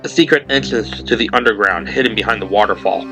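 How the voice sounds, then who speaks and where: A man's voice: on the low side, fast talker. Man narrating a suspenseful audio drama, music behind.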